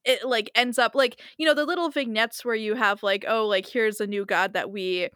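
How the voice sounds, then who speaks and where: A young woman in a casual discussion, talking in quick animated bursts packed with "like" fillers. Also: on the high side, smooth, dry recording, studio quality. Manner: fast, animated talk full of "like" fillers.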